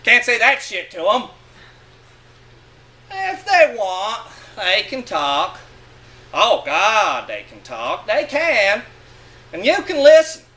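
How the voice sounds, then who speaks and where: A man's voice: sits high, huge pitch swings, slow pace, long pauses, pushed and bright. A man giving a dramatic, sardonic and emphatic reading of a literary monologue. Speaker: reader of a short story.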